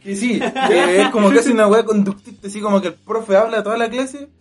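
A man's voice: high-pitched, fairly quick, soft background music. A young man with a Chilean accent co-hosting a casual podcast, crude and joking, swearing freely. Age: young adult. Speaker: podcast co-host. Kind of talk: casual chat over beers.

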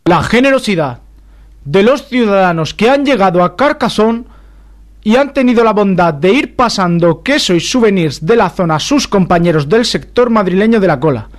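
A man's voice: sits high, lively intonation, moderate pace. A man playing a solemn government politician making a parody statement in a radio comedy sketch.

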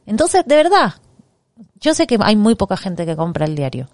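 Argentine woman, 40s, wry chatty co-host, mid-pitched voice, dry close sound. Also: big pitch swings, normal speed, darkish tone, dry recording. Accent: Argentine Spanish. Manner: chatty, wry, self-mocking. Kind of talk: casual chat.

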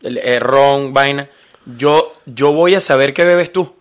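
Un hombre con acento venezolano, copresentador de un podcast, que conversa en tono informal, bromista y lleno de jerga. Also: male voice, medium pitch, slow pace. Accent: Venezuelan Spanish. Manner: joking, animated, full of slang. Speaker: podcast co-host. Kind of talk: casual chat.

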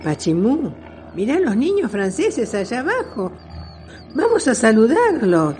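A woman's voice: on the high side, unhurried, slight background noise. A woman playing a spirited, kindly old great-grandmother and retired aviatrix in a children's radio play, with an Argentine accent and exclaiming delivery. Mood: delighted wonder. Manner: animated, exclaiming. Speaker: student voice actor.

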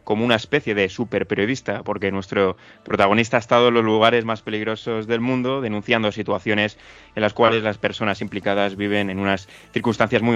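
A man with a Spanish accent from Spain hosting a podcast interview, talking in a halting way, with many fillers and repeated words. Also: lowish voice, a bit bright, clear, resonant tone, highs cut off. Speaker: podcast host. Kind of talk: casual interview.

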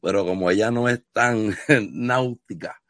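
A man's voice: fairly low pitch, a little slow, fairly bright tone, no room sound, clean studio sound. A man from Puerto Rico with a Puerto Rican accent, interviewing a guest in a casual video chat with enthusiastic, effusive praise. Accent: Puerto Rican Spanish.

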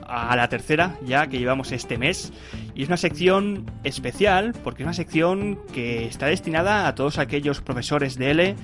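A man hosting a podcast interview, chatty, with fillers and repeated words. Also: medium pitch. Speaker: podcast host. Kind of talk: casual interview chat.

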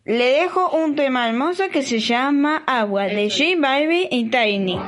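High-voiced Argentine radio presenter, female. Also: expressive, unhurried.

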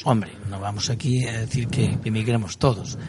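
A man's voice: lowish voice, normal speed, background noise. A man, a guest on a panel, telling anecdotes animatedly. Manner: animated, mimics voices in anecdotes. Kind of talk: casual chat.

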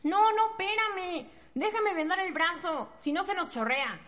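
Very high, bright Mexican prison helper played by a woman. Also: a little slow. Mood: urgent. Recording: long echoing reverb.

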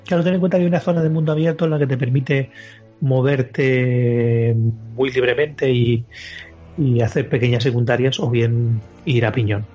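A man's voice: medium pitch, fairly even intonation, normal speed, dark tone. A man from the podcast world with a Spanish accent from Spain, chatting casually and swearing freely about video games as a co-host. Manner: informal, sweary. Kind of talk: casual video game chat. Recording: muffled lo-fi podcast, music underneath.

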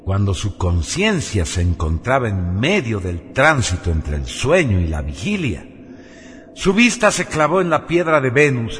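Slow, expressive male radio drama narrator. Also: mid-range voice, slightly rough. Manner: solemn, theatrical, deliberate. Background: music.

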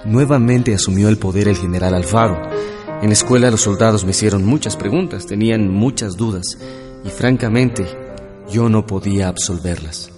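Male actor as young schoolteacher, low voice, long reverb, earnest, wounded, Ecuadorian accent, radio drama. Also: normal speed, close to an everyday voice. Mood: reflective. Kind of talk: Spanish-language radio drama acting and narration. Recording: long reverb, music behind.

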